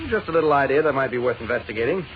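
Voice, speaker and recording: An actor playing a calm, good-natured male reporter with a brisk, self-assured manner, in 1940s radio drama style. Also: mid-range voice, close to an everyday voice. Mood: easygoing. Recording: noisy, muffled old radio recording.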